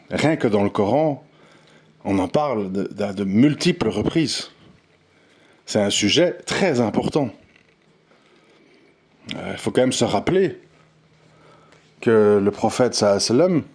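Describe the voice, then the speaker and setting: A man's voice: fairly low pitch, a little mellow. A man giving an unscripted, earnest audio monologue on religion, insistent and full of restarts and repeated words. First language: not Arabic. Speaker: religious commentator.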